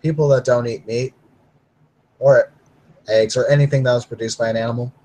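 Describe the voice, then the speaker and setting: A man's voice: mid-range voice, fairly even intonation, fairly quick, warm tone, natural voice, low-quality recording. A man voicing a laid-back young male werewolf who speaks in an easygoing, casual way.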